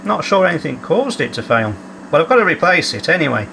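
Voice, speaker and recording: Repair-channel host, male, slightly higher pitch, methodical and matter-of-fact. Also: very animated, quick pace, creaky, pushed and bright, a little hiss or hum. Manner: methodical, reads out measurements as he works. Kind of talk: calm narrated workshop repair. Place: home workshop.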